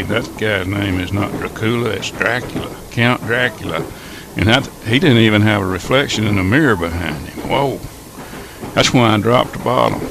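Male, low gravelly voice, folksy radio host playing train conductor, noisy rumbling background. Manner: folksy, hokey. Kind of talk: folksy old time radio comedy skit.

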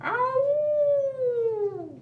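A woman voicing a barking dog and howling wolves with loud animal cries for a storybook. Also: extremely high pitch, very slow, phone-quality sound, pitched above the performer's own voice, exaggerated voice. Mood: loud calling. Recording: roomy, distant mic, background noise.

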